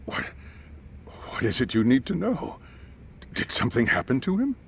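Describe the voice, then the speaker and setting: A polite, anxious male school chairman, with a creaky voice that swings widely in pitch. He sounds formal and hesitant, as in a video game cutscene, over faint background noise. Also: wildly swooping pitch, normal speed. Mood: worried, pleading.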